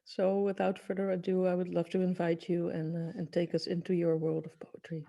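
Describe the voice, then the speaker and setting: Effusive woman host, mellow even voice, heard from a distant mic. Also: lowish voice, a little fast, creaky. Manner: effusive, admiring. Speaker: event host.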